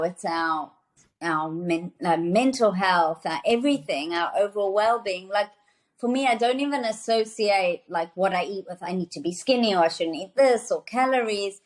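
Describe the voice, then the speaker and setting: A woman's voice: mid-range voice, moderate pace. A woman in her thirties from South Africa, a nutrition author chatting as a podcast guest, enthusiastic and full of fillers and restarts. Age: 30s. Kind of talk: casual interview conversation.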